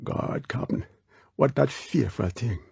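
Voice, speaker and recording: A man's voice: lowish voice, steady tone, normal speed, darkish tone, breathy edge, dry recording. A man voicing a big, superstitious, loyal islander in an audiobook, whispering urgently in non-standard grammar. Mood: frightened.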